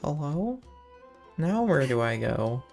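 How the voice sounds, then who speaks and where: A woman's voice: sits low, sing-song, slow, gravelly, darkish tone, faint music behind. A woman giving casual, dry and offhand commentary as she streams a video game.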